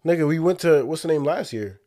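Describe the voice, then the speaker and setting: A deep-voiced man co-hosting a casual podcast, laid-back and jokey. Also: fast talker. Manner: laid-back, jokey, lively swings in pitch. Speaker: podcast co-host. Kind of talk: casual banter.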